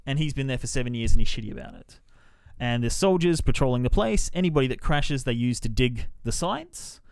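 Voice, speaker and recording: A man with a clear, mid-pitched voice hosts a casual podcast review. He swears freely and slips into mimicked dialogue while retelling things. The sound is dry, with no room around him. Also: animated, brisk, a little mellow, no room sound. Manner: sweary, mimics characters in quotes. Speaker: podcast host reviewing films. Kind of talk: casual spoiler-filled movie review chat.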